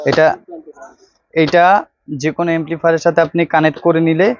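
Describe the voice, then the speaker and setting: A man with a Bangladeshi accent and a slightly high voice gives an informal product demonstration. He talks straight to viewers like a shop salesman. The clip-on mic sound is dry and a little dull. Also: fairly even intonation, brisk, no echo. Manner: salesman-like, addressing viewers directly. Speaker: electronics shop vlog presenter. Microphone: clip-on wired lapel microphone.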